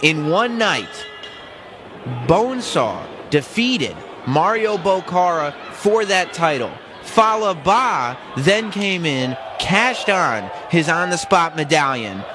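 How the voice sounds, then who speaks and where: A man calling a wrestling match as a color commentator, excitable and often shouting for emphasis. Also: fairly high pitch, noisy background. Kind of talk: live play-by-play commentary.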